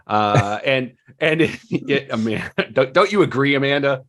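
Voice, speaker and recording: A man hosting a podcast in casual talk, with a wry, joking manner and halting pauses. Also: medium pitch, animated, fairly bright tone. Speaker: podcast host.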